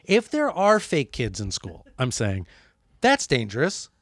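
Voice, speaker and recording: A man co-hosting a satirical comedy chat, deadpan and mock-serious, often restarting his sentences. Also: mid-range voice, unhurried. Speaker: podcast co-host.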